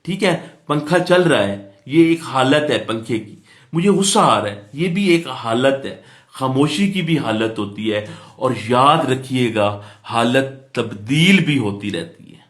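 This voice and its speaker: A man's voice: mid-range voice, expressive, slow, a bit bright. A man with a Pakistani accent giving an explanatory lecture as a law teacher, in a didactic way, repeating points and answering his own questions. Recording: echoey room.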